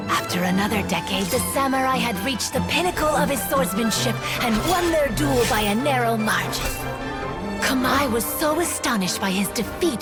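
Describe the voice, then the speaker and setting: A woman voicing a fading, world-weary yokai spirit in a video game, telling stories in a solemn, reflective manner with breathy phrase endings. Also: on the high side, natural voice.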